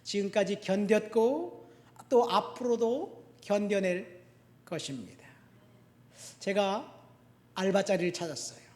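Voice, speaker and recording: A man in his sixties preaching as a pastor, emphatic, moving between forceful declarations and hushed lines. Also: sits high, slow, pauses often. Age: 60s. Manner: repeats key phrases for the congregation to follow. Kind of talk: sermon to a congregation. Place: church sanctuary. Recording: echoey hall with long reverb.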